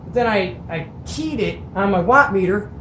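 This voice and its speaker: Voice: mid-range voice, expressive, normal speed, fairly bright tone. A host doing a casual hands-on show and tell, enthusiastic and colloquial, with folksy exclamations. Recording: echoey room with faint noise.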